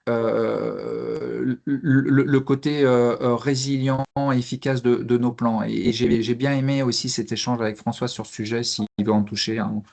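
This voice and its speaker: Male panelist, mid-pitched, explaining off the cuff in a halting way with many repeated words. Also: steady tone, gravelly, darkish tone. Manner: hesitant, halting delivery with frequent repeated words. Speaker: fire service officer and trainer speaking as panelist. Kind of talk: spontaneous professional panel discussion.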